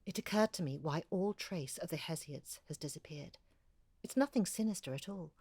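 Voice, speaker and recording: A woman's voice: on the low side, expressive, normal speed, darkish tone, slightly rough, dry recording. A woman playing an earnest, chatty amateur researcher in an audio drama, confiding and effusive as she talks. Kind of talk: conversational audio drama monologue.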